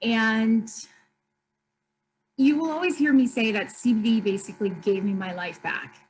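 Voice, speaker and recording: A woman's voice: a little high, unhurried, slight background noise, rough recording. A woman in her thirties or forties, from Colombia, speaking openly and earnestly as a guest on a video call interview. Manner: open, earnest, speaks of hard times steadily. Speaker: store owner, guest.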